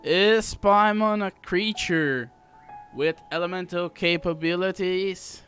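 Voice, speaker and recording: A man's voice: sits high, slow pace, fairly bright tone, soft background music. A man giving casual, chatty playthrough commentary as a gaming host, with playful asides.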